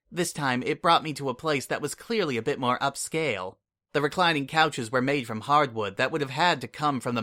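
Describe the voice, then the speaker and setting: A man reading a fantasy story aloud in a relaxed, conversational first-person narration. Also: medium pitch. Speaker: story reader. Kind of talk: dramatic audiobook narration.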